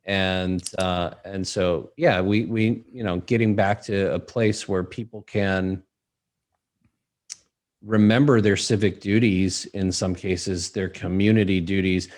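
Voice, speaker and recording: Low-voiced man hosting a chat. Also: fairly even intonation, slow, a little mellow. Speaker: host. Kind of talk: casual conversational interview.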